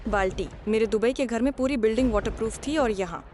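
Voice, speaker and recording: A woman's voice: on the high side, fast talker, a little mellow, a little hiss or hum, natural voice. A woman playing a spoiled young rich bride in a cartoon moral story, indignant, whiny and disdainful. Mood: bitter, annoyed.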